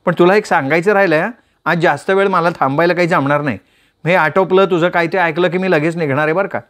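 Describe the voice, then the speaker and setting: A man voicing a chatty, carefree young woman who teases her friend in quick banter in a read-aloud story. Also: a little high, a bit bright, close to an everyday voice. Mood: teasing. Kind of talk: storytelling with voiced characters.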